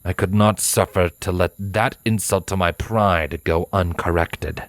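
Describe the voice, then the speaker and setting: Man playing a proud killer pony, deep male voice, cold, deliberate, formal diction, dry close sound, storybook villain. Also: fairly even intonation, no echo. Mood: cold, dismissive. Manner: haughty, measured, formal, dismissive. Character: cold proud winged male pony assassin, ruthless hunter.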